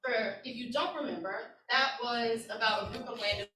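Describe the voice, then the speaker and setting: A woman in her thirties with a bright, fairly high voice tells a personal story as a workshop presenter. She speaks earnestly and conversationally and keeps checking in with the room. The sound is roomy and picked up from a distance. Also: fairly quick, a little hiss or hum. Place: conference room with an audience. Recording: echoey room, distant mic.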